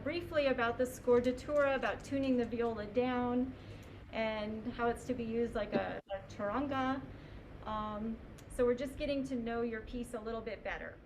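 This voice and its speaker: A woman's voice: a little high, rough recording. A woman talking casually on a video call as a music teacher. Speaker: music director and violist. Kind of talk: casual conversation. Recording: faint ambient noise on video call.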